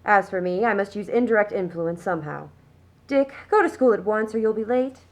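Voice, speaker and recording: A woman's voice: mid-range voice, animated, a little fast, lightly acted. A woman playing a smug, genteel middle-aged upper-class mother in a radio play, speaking in a prim, condescending way. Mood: prim, chiding. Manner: prim, condescending, self-satisfied. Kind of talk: scripted radio play acting.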